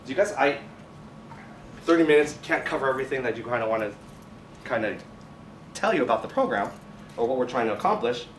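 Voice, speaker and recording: A man's voice: mid-range voice, animated, brisk, pauses often, fairly bright tone. A man speaking informally as a teacher presenting to a group, often restarting and correcting his phrases. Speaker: high school biotech and science teacher, guest speaker. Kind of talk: informal presentation with question and answer. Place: classroom or lab at a college. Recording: echoey room, distant mic, faint noise.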